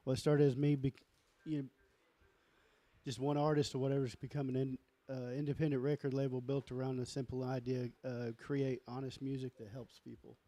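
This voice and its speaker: A man with a lower voice talking casually as an interview guest, halting and often repeating himself. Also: little pitch movement, mellow. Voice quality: lower register voice. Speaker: musician and label founder guest.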